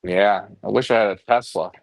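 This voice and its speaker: A man's voice: on the low side, fairly even intonation, moderate pace, creaky, no echo. A man co-hosting a pigeon racing show, chatting casually and telling stories as he goes. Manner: rambling storyteller, puts on voices in quoted talk. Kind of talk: casual chat.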